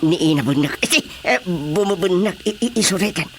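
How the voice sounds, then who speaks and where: Woman doing a lively, flustered comic villager. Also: on the low side, brisk, natural voice. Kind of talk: Filipino radio comedy drama acting.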